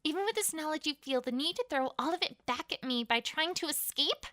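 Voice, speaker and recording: A woman voicing a cruel, commanding pony queen with a quick temper in a fan fiction audio drama. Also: sits high, expressive, bright, forceful tone, no echo, clean studio sound, pitched above the performer's own voice. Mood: stern, menacing. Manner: commanding, scornful.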